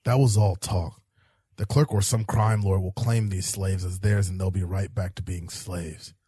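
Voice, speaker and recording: A man playing a cynical, self-serving hard-boiled police detective in an audio drama, defensive and pragmatic, with a rough voice. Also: sits low, steady tone, moderate pace, mellow, no room sound, clean studio sound. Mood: pragmatic, weary.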